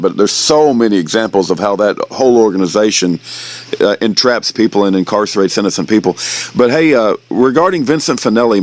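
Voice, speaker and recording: A man with a fairly low voice chats informally during a phone conversation. His talk is folksy and somewhat halting, circling back to restart his phrases. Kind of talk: casual chat.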